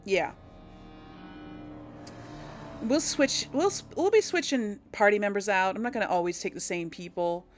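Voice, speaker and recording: A woman's voice: mid-range voice, lively intonation, brisk, soft background music. A woman giving casual let's play commentary, chatting to her viewers and thinking aloud as she hosts. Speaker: host of a video game role-play series.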